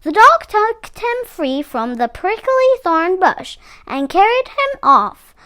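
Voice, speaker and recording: Lady reading a kids picture book aloud, high voice, really animated up and down. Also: slow pace. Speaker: read-aloud storyteller for children. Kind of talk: expressive picture-book narration.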